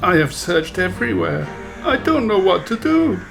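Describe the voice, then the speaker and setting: A woman voicing a gentle, distraught old homeless man in a storybook, creaky and anguished. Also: mid-range voice, big pitch swings, normal speed, music in the background. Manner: anguished, pleading.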